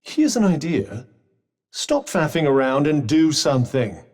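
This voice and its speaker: A sardonic talking bracelet in a video game, to the core and full of dry, condescending quips. His voice sits a touch high and leaps around expressively, mocking one moment and clipped the next. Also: very animated, unhurried, darkish tone. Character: sardonic talking magic bracelet, male, adult.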